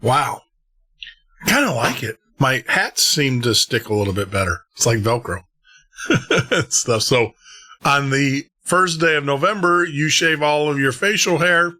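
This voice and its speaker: A man's voice: mid-range voice, expressive, a little slow, sharp tone. A man hosting a late-night radio show and podcast, chatting casually, rambling and laughing at himself. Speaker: host.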